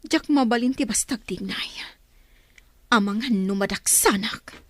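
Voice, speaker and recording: A woman's voice: on the high side, a little slow, close to an everyday voice. A woman playing a worried, indignant young daughter in a radio drama. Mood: anxious. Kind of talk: radio drama acting in Ilocano. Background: music.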